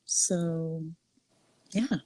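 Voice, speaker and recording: Woman in her twenties, from Costa Rica, lowish voice, chatty with ums, dry close sound. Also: drawn-out words, warm tone, dry recording, low-quality recording. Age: 20s. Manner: chatty, many ums and restarts. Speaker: guest, salon owner. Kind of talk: casual interview.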